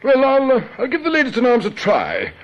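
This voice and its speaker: An actor playing a prickly, self-important British bank manager in a radio drama, curt and humourless. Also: male voice, extremely high pitch, wildly swooping pitch, fairly quick, exaggerated voice. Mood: haughty. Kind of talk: broadcast radio drama acting. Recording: dull noisy old radio recording.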